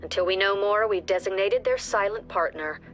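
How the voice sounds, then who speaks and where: A woman plays a stern female commander in a tactical video game. Her delivery is clipped and businesslike, at a middle pitch, like a formal mission briefing. Faint music runs underneath. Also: normal speed, fairly bright tone, natural voice. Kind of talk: scripted game briefing narration.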